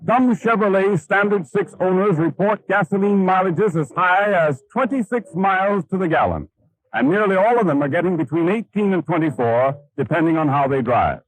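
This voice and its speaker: A man's voice: high-pitched, animated, moderate pace, no echo, rough recording. An American man reading a scripted 1930s radio advertisement with an emphatic, polished sales manner. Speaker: radio announcer. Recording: 1930s radio broadcast, dry.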